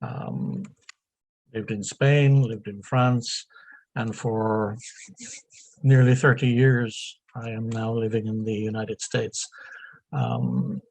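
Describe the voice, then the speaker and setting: An older Dublin man with an Irish accent speaks slowly, pausing often with ums. His voice is gravelly and a little husky, and the recording is dry and close. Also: lowish voice, lively intonation, darkish tone, no room sound, poor audio. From Ireland. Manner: halting, frequent ums, self-deprecating asides. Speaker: guest, software consultant. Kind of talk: unscripted interview answers.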